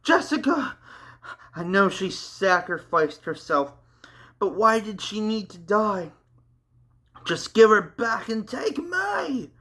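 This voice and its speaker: A man's voice: high-pitched, slow pace, pushed and bright, voice pushed up, exaggerated voice. A man voicing Matt, a tearful young man crying out in grief. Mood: grieving. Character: grieving young man, loyal friend.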